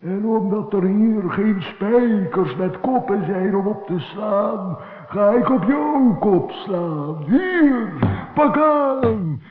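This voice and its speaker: A man's voice: sits high, lively intonation. A man playing an impatient, mischievous bottle spirit with a hollow, strange, echoing voice in a children's radio play. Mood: gleeful menace. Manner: drawn-out, sing-song, mocking. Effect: echo. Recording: echoey, reverberant, tinny old radio recording.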